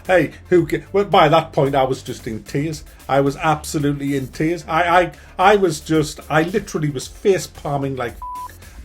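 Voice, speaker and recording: A man with a fairly high voice tears into a film, animated and sarcastic, cursing as he goes. Faint music plays softly behind him. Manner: animated, profane, sarcastic ranting. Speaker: movie reviewer. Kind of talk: ranting movie review.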